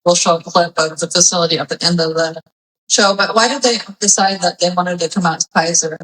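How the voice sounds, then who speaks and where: A woman's voice: fairly low pitch, steady tone, fairly quick, a bit bright, far from the mic, low-quality recording. Chatty woman hosting an interview. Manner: chatty, playful, a bit rambling. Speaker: podcast host. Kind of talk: casual interview.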